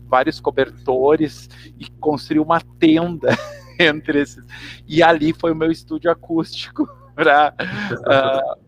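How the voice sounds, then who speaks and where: Brazilian male interviewee, gravelly mid-range voice, reflective and hesitant, some noise and hum behind. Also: a little high, poor audio. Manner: halting, searching for words, repeats words. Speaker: actor and teacher interviewed.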